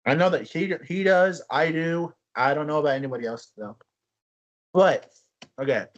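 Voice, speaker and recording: A young man co-hosting a casual podcast, telling stories in a rambling, animated way with frequent restarts. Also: on the high side, moderate pace, muffled recording. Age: young adult. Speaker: podcast co-host. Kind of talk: casual chat.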